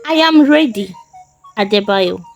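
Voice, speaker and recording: A woman's voice: mid-range voice, expressive, a little slow, soft background music. A woman storyteller voicing a greedy, bitter young farmer husband with a harsh, insistent manner in a folktale. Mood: resolved. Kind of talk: storytelling character voice.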